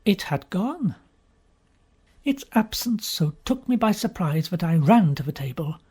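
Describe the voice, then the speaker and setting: A man reading a story aloud in an expressive, theatrical first-person style with deliberate pauses, his voice husky and breathy. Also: high voice. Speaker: audiobook reader.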